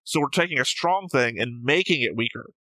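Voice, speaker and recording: Bright-voiced man reasoning through theories. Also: mid-range voice, animated, fairly quick, no room sound, poor audio. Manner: methodical, argumentative explaining. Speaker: reaction show co-host. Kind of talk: casual analytical discussion.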